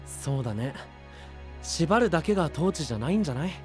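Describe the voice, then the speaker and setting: A level-headed young knight from an anime audio drama. His delivery is casual and dryly sceptical, with lively swings of pitch on each retort, over soft background music. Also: brisk. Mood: dry, thoughtful. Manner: casual, dry questioning, exasperated retorts. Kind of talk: anime-style voice drama acting.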